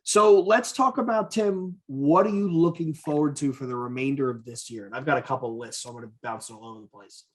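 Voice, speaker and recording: A man's voice: medium pitch, a little fast, few pauses. A man co-hosting a podcast in casual, profane, enthusiastic chat about what he is a fan of. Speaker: podcast co-host.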